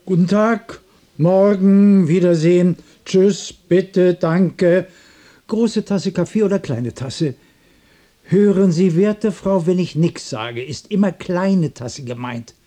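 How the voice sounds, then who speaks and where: An actor playing a distraught, irritable loner in a radio drama, musing in broken repetitions and turning cranky. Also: male voice, sits high, slow, mellow. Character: distraught, irritable, restless loner adrift in the world. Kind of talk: literary radio drama monologue and dialogue.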